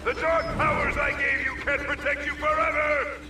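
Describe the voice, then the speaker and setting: A man voicing a menacing, gloating, theatrical villain with dark powers in a video game. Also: extremely high pitch, flat delivery, moderate pace, background noise. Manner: theatrical, threatening. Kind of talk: video game cutscene acting. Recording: echoing voice under game music.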